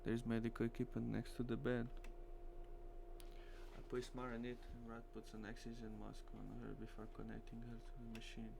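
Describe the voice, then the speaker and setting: Creaky-voiced man reading a visual novel. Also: medium pitch, monotone, fairly quick. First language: Portuguese. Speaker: streamer. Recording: faint hum and noise.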